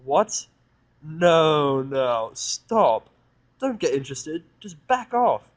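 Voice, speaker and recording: A man playing a grumpy, defensive, sarcastic character with a gruff, exasperated, blunt manner. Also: on the high side, sing-song, extremely slow, pitched above the performer's own voice, heavily acted.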